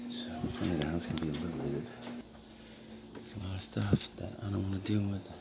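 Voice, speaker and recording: A man's voice: low-pitched, a little fast, creaky. A man reselling finds, talking casually to a handheld phone while browsing a cluttered house, often muttering half-whispered asides to himself. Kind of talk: casual vlog commentary. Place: cluttered house at an estate sale, indoors. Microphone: handheld phone camera. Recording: loud background noise.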